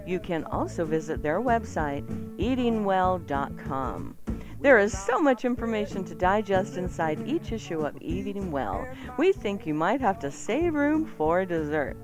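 A woman's voice: lowish voice, big pitch swings, a little slow. A woman co-hosting a radio show, reading ads and bantering in a radio studio. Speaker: radio co-host and ad reader. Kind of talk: lively radio ad read and banter. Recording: radio broadcast with music behind. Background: music.

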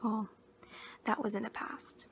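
A woman in her twenties playing a gentle young scavenger turned Jedi in a whispered ASMR roleplay, soft, breathy and soothing, close to the mic. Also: fairly high pitch, little pitch movement. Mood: soft, wistful. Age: 20s. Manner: slow, hushed, soothing, with long pauses. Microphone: close to the mouth. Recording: noisy close-up homemade recording.

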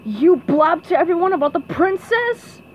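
A woman's voice: on the high side, big pitch swings, measured pace, a little hiss or hum, poor audio. A woman voicing a grumpy, exasperated male cartoon squid from a storybook, in an accusing manner. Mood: angry, accusing.

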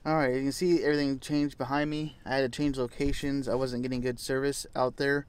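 A man's voice: medium pitch, flat delivery, a little fast, slight background noise. A young adult man with a North American accent, chatting casually and enthusiastically to camera as a camping vlogger inside a parked vehicle. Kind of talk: casual vlog chat to camera. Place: inside a parked truck at night.

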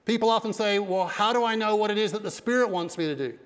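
A middle-aged pastor preaches with emphatic energy, his voice pitched high for a man. He speaks into a headset mic, and the big church hall rings with a long reverb behind him. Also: fast talker. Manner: emphatic preaching with broad hand gestures. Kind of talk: sermon. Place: large dark church stage.

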